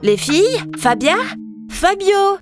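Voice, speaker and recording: A woman's voice: extremely high pitch, very animated, takes it slowly, music in the background, full character voice. A woman voicing a girlish, mild-tempered female pet in a children's cartoon. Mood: calm. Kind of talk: French-dubbed children's cartoon acting.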